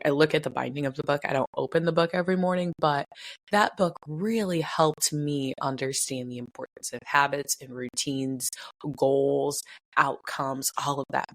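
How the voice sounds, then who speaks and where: Young woman, wellness podcast host; low, stuffy, a bit raspy; friendly and chatty. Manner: chatty, upbeat, addresses listener as bestie. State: congested, stuffy. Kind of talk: casual wellness podcast chat.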